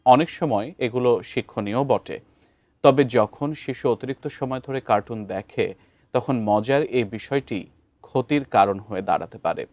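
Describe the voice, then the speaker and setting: Bangladeshi guy anchoring the news, reading a script, medium pitch, very dry studio-type sound. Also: normal speed, a little mellow, no room sound.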